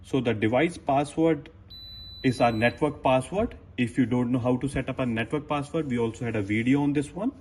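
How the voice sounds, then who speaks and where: A man presenting a step-by-step how-to demonstration as a technician, with plain, halting instructional phrasing. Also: medium pitch, darkish tone.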